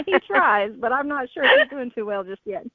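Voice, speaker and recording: A woman's voice: sits high, sing-song, unhurried, no room sound. A woman speaking casually and conversationally as a guest author answering interview questions. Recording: thin phone-like sound.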